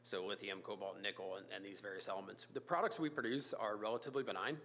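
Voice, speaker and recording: A man with a raspy voice from a cold, a company executive making a casual, filler-heavy pitch to a city council. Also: pushed and bright, slight background noise. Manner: informal, fillers and restarts, brisk business pitch. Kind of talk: public comment at a council meeting. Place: council chamber.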